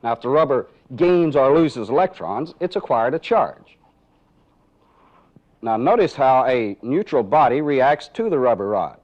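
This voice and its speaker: A man's voice: mid-range voice, big pitch swings, measured pace. A man giving methodical instructional narration as a training film instructor, explaining step by step and posing rhetorical questions. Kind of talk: instructional narration with live demonstration. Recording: dull, band-limited 1980s training film audio.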